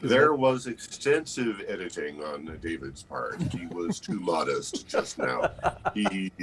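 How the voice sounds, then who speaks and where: Slow, low-voiced older man. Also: a bit bright, low-quality recording. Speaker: actor, interview guest. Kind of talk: conversational interview answers. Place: book-lined room indoors. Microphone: video call. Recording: faint background noise on video call.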